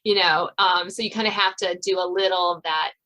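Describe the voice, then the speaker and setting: A woman's voice: lowish voice, fairly even intonation, brisk, sharp tone. An American woman from Virginia chatting casually as the host of an interview podcast.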